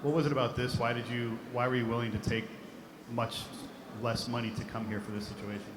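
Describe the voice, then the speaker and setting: A man reporter asking brisk, direct questions at a press conference. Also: medium pitch, level tone, roomy sound, distant mic, background noise.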